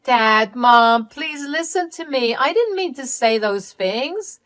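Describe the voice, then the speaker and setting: A woman narrator acts out a scheming, spoiled younger sister in a dramatic story. She is indignant and whiny, pleading and accusing melodramatically in a higher, louder voice. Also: animated, takes it slowly, exaggerated voice. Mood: desperate pleading.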